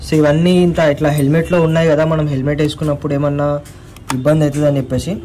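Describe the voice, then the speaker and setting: Fast-talking Telugu man, noisy recording. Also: a little high, flat delivery, dark tone. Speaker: vlogger presenting an installation guide. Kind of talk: casual step-by-step tutorial with English words mixed in. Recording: clearly noisy recording with ambient sound.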